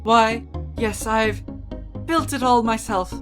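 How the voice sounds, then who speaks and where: Demon fly queen played by a woman: playful, grand, theatrical game-style villainess, slow delivery over soft music. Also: a little high. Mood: playful, boastful. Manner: theatrical, drawn-out, grandly welcoming. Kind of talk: dramatic reading of game dialogue.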